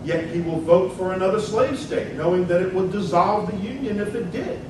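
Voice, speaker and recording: Casting: male actor as impassioned 19th-century American senator, high-pitched declamatory oratory, emphatic, heard in a heavily reverberant hall. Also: moderate pace, natural voice. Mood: firm, accusing. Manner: declamatory, emphatic, rhetorical questioning. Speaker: performer in a dramatic reading. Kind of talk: theatrical political oratory, dramatic reading. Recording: very echoey hall, distant mic, loud rumbling noise.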